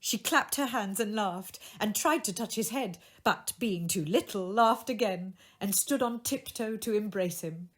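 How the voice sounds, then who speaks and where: Expressive woman narrating classic fiction. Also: mid-range voice, measured pace, few pauses. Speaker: audiobook narrator. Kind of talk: expressive scripted literary narration.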